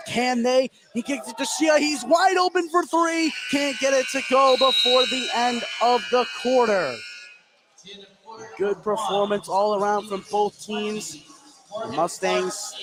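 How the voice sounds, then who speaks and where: A man doing live play-by-play radio commentary of a basketball game. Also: high-pitched. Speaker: play-by-play announcer. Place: indoor basketball gym during a game. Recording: clear gym noise behind the voice.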